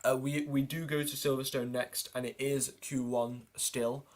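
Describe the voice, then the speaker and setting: A man's voice: medium pitch, little pitch movement, moderate pace. A man hosting a livestream, giving running race commentary and reading out names and positions in clipped lists. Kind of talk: live race watchalong commentary.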